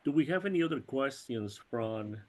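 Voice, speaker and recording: A man's voice: medium pitch, expressive, normal speed, dark tone. A man from Peru moderating a web briefing over a video call, courteous and thanking each speaker. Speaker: committee chair moderating.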